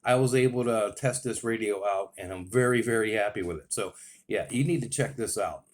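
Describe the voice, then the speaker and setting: A man's voice: lowish voice, a little fast. An American man giving a casual, enthusiastic and plain-spoken product review as a radio hobbyist.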